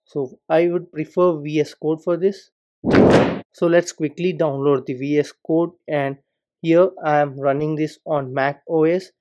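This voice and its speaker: A man's voice: fairly high pitch, unhurried, highs cut off. A man narrating a screen-recorded coding tutorial, walking through each step and leaning often on the word "particular". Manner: steady step-by-step explaining, repeats "particular".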